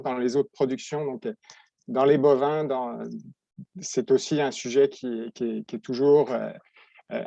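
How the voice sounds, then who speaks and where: French-accented man in his forties, webinar lecturer, halting delivery, lo-fi dry call audio. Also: mid-range voice, warm tone, no room sound. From France. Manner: halting, many restarts and repeated words. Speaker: veterinary professor presenting. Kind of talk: webinar presentation. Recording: dull lo-fi dry sound.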